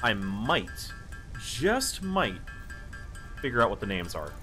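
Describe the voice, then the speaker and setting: A man with a low, slightly hoarse voice drifts through a building game, muttering to himself in slow, unhurried asides. His tone rises and falls a lot as he reacts, and loud game music plays behind him. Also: sing-song. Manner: talks to himself while building, wry asides. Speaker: let's play host. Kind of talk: casual gameplay commentary.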